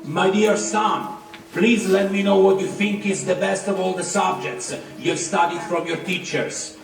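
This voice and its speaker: A man's voice: high voice, unhurried. A man playing a proud demon king in a devotional puppet show, stately as a father addressing his son. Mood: fond, questioning. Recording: very echoey, distant mic.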